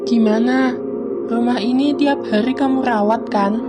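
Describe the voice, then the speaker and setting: Woman as homely grandmother, friendly, slightly raised pitch. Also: steady tone, a little slow, natural voice. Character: grandmother, friendly and chatty matriarch. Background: music.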